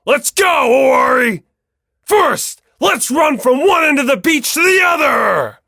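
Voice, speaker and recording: A hot-blooded giant of a young coach, shouting in a strained, very high-pushed voice. It is bright and forceful with a gravelly edge, every phrase hammered out with bombast. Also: big pitch swings, measured pace, dry recording, clean studio sound, exaggerated voice. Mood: loud, boisterous. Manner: booming, emphatic shouting.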